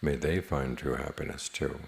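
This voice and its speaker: A man teaching a guided meditation in a measured, plain-spoken manner, leaving long pauses between phrases. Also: extremely low pitch, fairly even intonation, gravelly, slight background noise.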